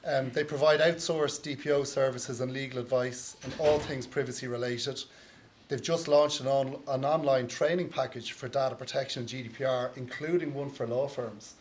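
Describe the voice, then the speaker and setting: An Irish man moderates a conference session in a loose, chatty way, filling pauses with ums and slipping in small jokes. His voice sits at an ordinary mid pitch. A faint background hum runs under the talk. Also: steady tone. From Ireland. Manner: chatty, light joking, frequent ums. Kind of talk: conference panel introduction. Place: conference hall.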